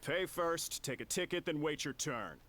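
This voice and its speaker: A man's voice: on the high side, expressive, moderate pace, fairly bright tone. A man voicing a curt, bored, officious queue attendant in a video game. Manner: brusque, bored.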